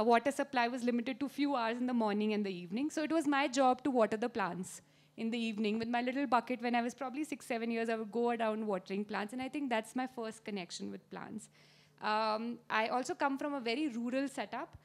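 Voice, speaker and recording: Woman, thirties, Indian accent, higher-pitched voice, instructive, live question-and-answer talk. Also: fairly quick. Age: 30s. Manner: explanatory, instructive. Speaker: gardening expert and guest speaker.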